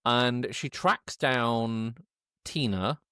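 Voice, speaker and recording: A man recapping a film casually as a podcast co-host, halting and correcting himself, with an irreverent edge. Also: mid-range voice, sharp tone, smooth, dry recording, studio quality. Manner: halting, hesitant, self-correcting delivery.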